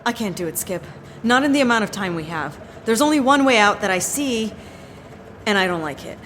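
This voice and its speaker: A woman's voice: mid-range voice, animated, a little fast, a bit bright, slight background noise, close to an everyday voice. A woman playing an anxious, devoted tech agent in an audio drama, earnest and emotional. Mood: grim, tense.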